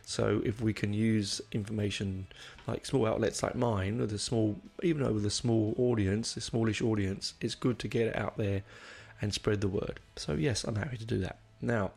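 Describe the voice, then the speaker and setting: A man hosting a music podcast in a casual, rambling way, his voice croaky from a cold. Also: lowish voice, steady tone, normal speed, hardly any pauses, darkish tone. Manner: rambling, many ums and restarts. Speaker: podcast host.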